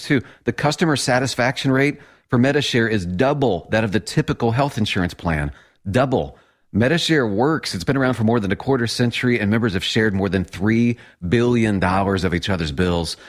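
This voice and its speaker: A man reading a radio advertisement in a casual, conversational and persuasive way. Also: mid-range voice. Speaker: advertisement announcer.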